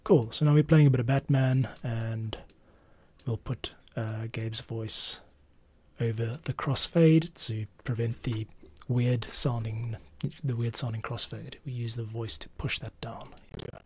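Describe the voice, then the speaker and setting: A man's voice: mid-range voice, fairly even intonation, a little slow. A middle-aged man gives casual live commentary as he edits audio. He mutters and thinks aloud, sometimes nearly whispering. Faint noise and keyboard clicks sit behind his voice. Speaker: podcast editor and host. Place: small home studio room, indoors.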